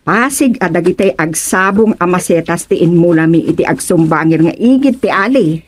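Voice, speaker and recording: A woman's voice: on the low side, moderate pace, close to an everyday voice. A woman with an Ilocano accent playing an eager village councilor in a radio comedy-drama, speaking in a keen, lilting, deferential way. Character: eager female village councilor, proud of her gardens.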